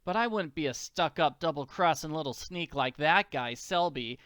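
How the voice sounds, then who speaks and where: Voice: medium pitch, lively intonation, normal speed, fairly bright tone, smooth, dry recording, clean studio sound, close to an everyday voice. Performer as a plucky, slangy orphan girl. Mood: forlorn. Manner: folksy slang, earnest musing.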